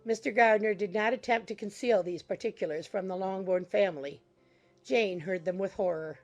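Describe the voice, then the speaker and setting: A woman narrates a classic novel. Her voice sits at a medium pitch, delivering formal scripted prose as quiet music plays behind. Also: normal speed. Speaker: audiobook narrator. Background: soft background music.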